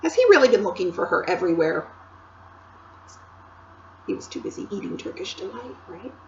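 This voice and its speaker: A woman, a teacher, reading a storybook aloud in an expressive way and stopping to ask her listeners questions. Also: fairly low pitch, normal speed, gravelly, muffled recording, slight background noise. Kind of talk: read-aloud storybook narration.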